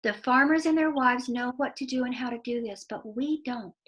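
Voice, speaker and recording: A woman reading a children's story aloud in a deliberate, explanatory way, with asides to young listeners. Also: fairly high pitch, fairly bright tone.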